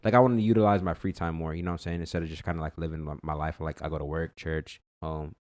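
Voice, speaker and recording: A young man with a very low voice chats on a podcast in a relaxed, rambling way, filling gaps with fillers and restarts. The recording is close and dry with no room sound. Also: fast talker, no echo. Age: young adult. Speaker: podcast co-host. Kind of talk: casual chat.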